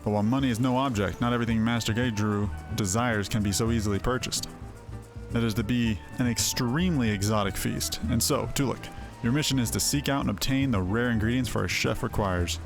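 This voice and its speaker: A man's voice: mid-range voice, fairly quick, lightly acted. A man voicing a stern, sceptical veteran mercenary captain in a fantasy game, speaking in a blunt, formal, archaic way. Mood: commanding. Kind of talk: voiced fantasy game dialogue. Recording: game music behind voice. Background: game music.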